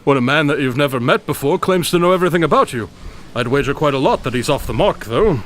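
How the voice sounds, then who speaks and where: Man acting a wry, pompous gentleman detective. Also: a little high, lively intonation, fast, slight background noise, close to an everyday voice. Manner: dry, pompous, precise wording. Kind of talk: radio drama acting.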